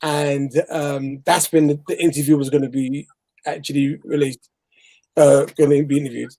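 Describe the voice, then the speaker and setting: A man's voice: on the high side, little pitch movement, moderate pace, warm tone. A man with a Bajan accent telling a story in a rambling, laughing way over a video call. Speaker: guest interviewer. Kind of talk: casual live chat. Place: home, remote video call.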